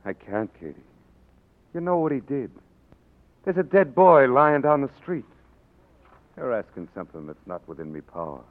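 An actor with an Irish accent playing a stern, weary rebel commandant in a 1940s radio drama. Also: male voice, medium pitch, very animated, moderate pace, long pauses, natural voice. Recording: tinny old radio broadcast with hiss.

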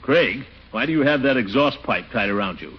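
An actor playing a pompous, chuckling middle-aged uncle in a 1940s radio comedy. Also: male voice, mid-range voice, expressive, brisk, crowd noise, natural voice. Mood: indignant, scolding. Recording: dull, noisy 1940s radio recording.

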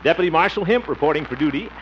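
A man's voice: a little high, animated, fast, close to an everyday voice. An actor playing a jovial, pompous small-town man in a blustery, chuckling 1950s radio comedy style. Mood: eager. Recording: muffled, noisy 1950s radio recording.

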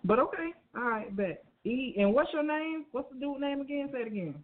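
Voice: sits high, animated, brisk. A host asking a guest questions in a chatty, slangy, laughing way. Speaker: interview host. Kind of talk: casual phone interview. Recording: tinny phone line.

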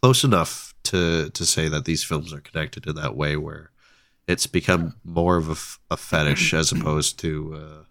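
A man co-hosting a casual film podcast, relaxed and thoughtful, with a stuffed-up nose. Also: very deep voice, moderate pace, no echo, studio quality. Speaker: podcast co-host.

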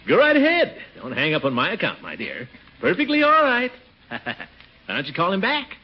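A dull vintage radio recording carries a husky, blustering middle-aged uncle. He is pompous, fond and flirtatious, his theatrical delivery leaping all over his range in 1940s situation-comedy style. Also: high voice, huge pitch swings, moderate pace, full character voice. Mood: effusive, eager.

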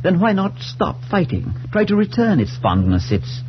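An actor playing a grave, concerned priest in a solemn 1940s radio drama style, on a noisy old recording. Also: male voice, high-pitched, wildly swooping pitch, normal speed, lo-fi sound, full character voice. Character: grave priest, concerned friend recounting a horror. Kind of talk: 1940s radio acting and first-person narration.

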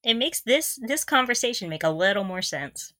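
A woman's voice: fairly high pitch, very animated, moderate pace, pushed and bright, no room sound, clean studio sound. A woman co-hosting a podcast in a casual fan chat, often laughing through her sentences. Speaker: podcast co-host.

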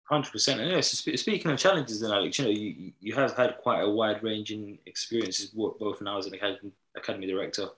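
A man's voice: fairly low pitch, fairly bright tone, rough recording. A man hosting a podcast, asking long, winding questions with many restarts. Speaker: podcast host. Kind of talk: interview questions.

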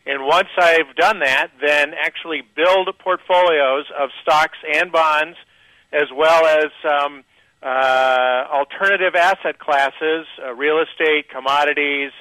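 A man, a guest portfolio manager, giving measured interview answers with many fillers. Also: a little high, steady tone, pushed and bright, dry recording, poor audio.

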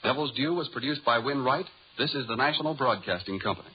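A man's voice: mid-range voice, animated, fast talker, husky. 1930s male radio announcer, muffled sound.

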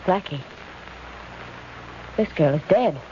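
A woman's voice: lowish voice, expressive, takes it slowly, dark tone. A woman playing a level-headed, caring female companion to a detective, practical and a little hesitant, in 1940s radio style. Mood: uneasy. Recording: noisy, muffled old-time radio recording.

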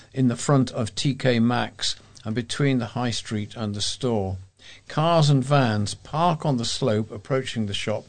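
British man reading aloud, mid-pitched voice. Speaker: volunteer newspaper reader. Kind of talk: reading letters aloud for a talking newspaper.

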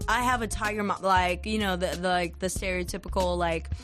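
A woman's voice: on the low side, steady tone, brisk, soft background music. A young woman from Singapore, a podcast guest chatting casually, laughing often and swearing lightly with many fillers. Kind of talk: casual chat.

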